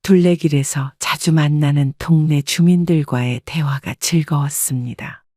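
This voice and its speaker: A woman narrating a scripted first-person life story in a calm, even manner. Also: deep voice, normal speed, no echo, studio quality.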